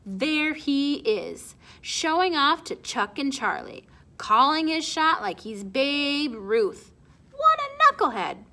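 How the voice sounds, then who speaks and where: A woman voicing an excitable boy lookout in a storybook, calling out in a mocking, scornful manner. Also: sits high, slow pace, voice pushed up, heavily acted.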